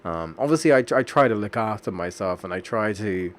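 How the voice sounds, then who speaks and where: A man's voice: on the low side, expressive, brisk, faint music behind. A man with a stuffy nose from a cold, chatting casually and rambling as he streams a game. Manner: rambling, chatty, restarts sentences often.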